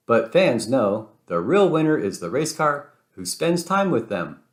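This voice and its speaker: Man playing a confident race car, lively pitch. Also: unhurried, echoey room. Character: confident, friendly young male American race car. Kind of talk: children's storybook character voice.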